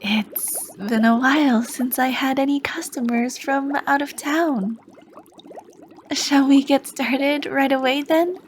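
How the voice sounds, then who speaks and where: A woman playing a charming, sly potion shopkeeper, talking slowly and softly with a teasing edge and dipping into whispers. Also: sits high, steady tone, a little hiss or hum. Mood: welcoming. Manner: slow, soft-spoken, teasing, drifting into whispers. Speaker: ASMR roleplay performer. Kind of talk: ASMR roleplay.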